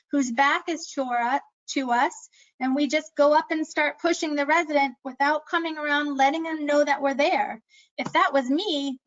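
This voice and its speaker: A woman with a high-pitched voice presenting an earnest, instructive talk on memory care, with slightly dull audio. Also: a bit bright. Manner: earnest, instructive, self-aware about speaking fast. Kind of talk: scripted educational presentation.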